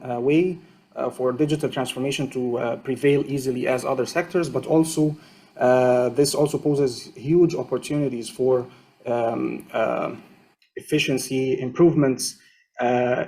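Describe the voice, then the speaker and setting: A man talks through a topic on an online panel, steady and measured, with many small pauses and fillers. His voice sits at an ordinary middle pitch. He is heard over a video call. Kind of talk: conference panel talk.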